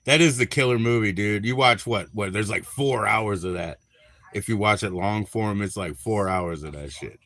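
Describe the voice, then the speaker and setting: A man's voice: fairly low pitch, normal speed, a bit bright. A man from Minnesota hosting a live stream, chatting casually in a crude, joking, rambling way. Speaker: livestream host.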